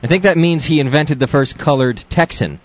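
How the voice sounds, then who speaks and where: A man's voice: fairly high pitch, fairly quick. A man hosting a casual comedic talk show, laughing often and teasing his co-host. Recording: dull lo-fi 2000s recording, dry.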